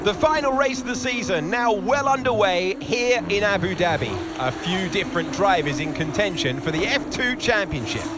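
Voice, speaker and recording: A man's voice: high-pitched, expressive, moderate pace, few pauses, noisy background. An actor playing a dramatic male race commentator proclaiming a championship win in a game cutscene.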